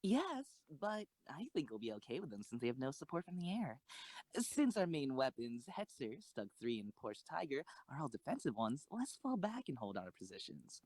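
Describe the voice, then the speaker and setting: A woman's voice: rumbling low, very animated, normal speed, a little mellow, dry recording, full character voice, poor audio. A woman playing an earnest, careful schoolgirl tank tactician in a comic audio drama. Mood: calm, cautious.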